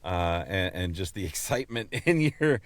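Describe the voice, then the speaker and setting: Halting, low-voiced male podcast host. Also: big pitch swings, background noise. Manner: halting, repeats words as he explains. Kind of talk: casual chat.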